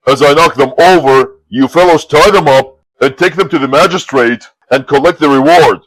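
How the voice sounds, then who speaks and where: A man's voice: on the high side, normal speed, a bit bright, poor audio. A man doing a blunt, swaggering warrior monk. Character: gruff, fearless male warrior monk.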